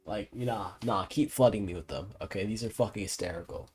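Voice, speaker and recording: A man's voice: lowish voice, fairly quick, a little mellow. A young man giving casual, amused playthrough commentary as a gaming streamer, full of slang and swearing. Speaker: gaming streamer reacting to a game. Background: faint game music.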